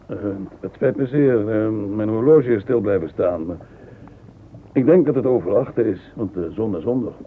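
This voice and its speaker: An actor playing a flustered, polite gentleman in radio drama dialogue, hesitant and apologetic. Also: on the low side, lively intonation, moderate pace, phone-quality sound, lightly acted. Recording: thin, noisy old radio recording.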